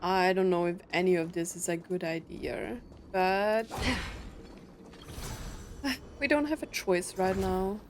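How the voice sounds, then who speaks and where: A woman's voice: on the low side, steady tone, slow, long pauses, a little hiss or hum. A woman chatting informally and reacting to herself as she plays a video game, sometimes swearing. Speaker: gaming video host. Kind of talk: casual reactions while playing a game. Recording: game music behind the voice. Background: video game soundtrack and character voices.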